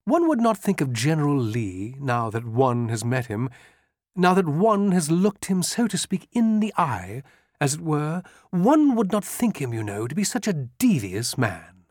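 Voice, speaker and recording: A man's voice: fairly high pitch, big pitch swings, normal speed, dry recording, studio quality, pitched above the performer's own voice. A man putting on a pompous, fussy colonel. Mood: awed. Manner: wordy, hesitant, awestruck. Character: pompous, fussy, gushing foreign colonel, middle-aged man.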